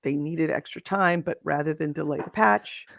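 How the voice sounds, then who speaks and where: A slightly hoarse woman co-hosting a podcast, chatting in a rambling, self-interrupting way. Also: sits low, normal speed, no room sound. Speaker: podcast co-host. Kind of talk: casual chat.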